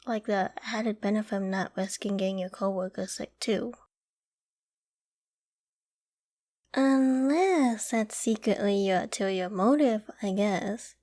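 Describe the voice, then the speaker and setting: An affectionate girlfriend acted out by a woman in an ASMR roleplay. Her voice sits on the high side. She is caring and coaxing, with gentle teasing and a playfully flustered edge. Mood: worried, coaxing.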